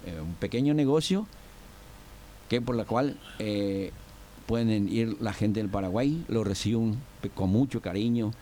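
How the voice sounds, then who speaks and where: An older man from Argentina, a guest on a radio show, telling his story in a rambling, halting way with many fillers. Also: on the low side, dark tone, a little hiss or hum. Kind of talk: casual radio interview.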